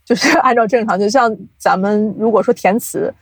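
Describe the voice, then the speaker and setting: A woman speaking casually as an interview guest, explaining things in an animated, chuckle-prone way like an industry insider. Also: mid-range voice, moderate pace, breathy edge, no echo. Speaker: guest, audio drama producer and company CEO.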